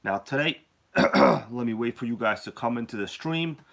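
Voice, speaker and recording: Sick, hoarse-voiced live stream host. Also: male voice, medium pitch, expressive, normal speed, a bit bright. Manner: joking, chatty, greets viewers by name. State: sick with a cold, losing his voice. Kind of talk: casual live stream chat.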